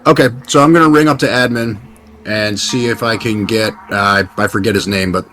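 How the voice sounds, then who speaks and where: A man's voice: on the low side, fairly even intonation, a little fast, a bit bright, soft background music. A man playing a tabletop game, talking casually and speaking in character. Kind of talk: casual in-character role-play chat.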